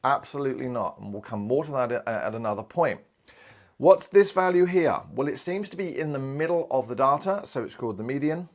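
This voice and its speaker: A man in his thirties, from Australia, teaching a recorded maths lesson in a chatty, animated way. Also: mid-range voice, fairly quick. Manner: lively, chatty, acts out quoted voices. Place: indoor studio in front of a screen.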